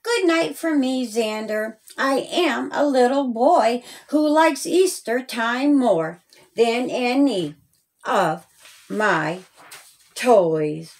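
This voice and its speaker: A woman voicing an excited, earnest little boy in a rhyming Easter storybook. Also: on the high side, very slow, exaggerated voice. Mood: sleepy, content. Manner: earnest, simple rhymed sentences.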